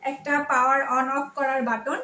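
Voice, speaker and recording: Bangladeshi woman, customer relations officer, high voice, explains products with repeated phrases, faint noise behind. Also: flat delivery, normal speed, bright, forceful tone. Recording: echoey room, faint background noise.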